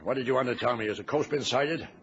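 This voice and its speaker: An actor playing a dutiful, stubborn ship's captain in old-time radio drama, speaking with formal, old-fashioned courtesy. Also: male voice, medium pitch, fast talker, natural voice. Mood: grave. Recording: noisy, muffled vintage radio recording.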